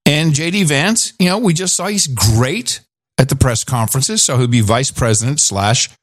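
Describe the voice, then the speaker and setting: A man's voice: medium pitch, sing-song, moderate pace, no echo, studio quality. A man hosting a talk show, giving opinionated, dismissive commentary in a casual, bantering style.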